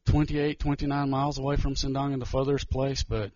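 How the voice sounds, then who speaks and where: A man speaks haltingly to a church crowd, often stopping and restarting his sentences. His voice sits at a middling pitch. The recording is dry and muffled, with a lo-fi sound. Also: little pitch movement, a little mellow, no echo. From Georgia, US. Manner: nervous, halting, many restarts. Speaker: missionary. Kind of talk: church testimony talk.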